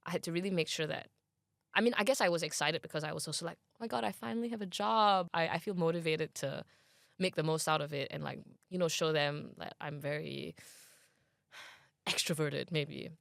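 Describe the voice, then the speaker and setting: A young woman with a Malaysian accent speaks in a casual interview. Her voice has a gravelly edge, and she is recorded dry and close. She rambles in a chatty way, full of fillers and restarts as she thinks aloud. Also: low-pitched, fairly quick, no room sound. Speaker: interview guest.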